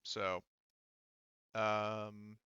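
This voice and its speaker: Bright, clear-voiced man running a tabletop game. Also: lowish voice, level tone, extremely slow, dry recording, muffled recording. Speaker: game master of a tabletop role-playing game. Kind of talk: casual game talk, explaining rules.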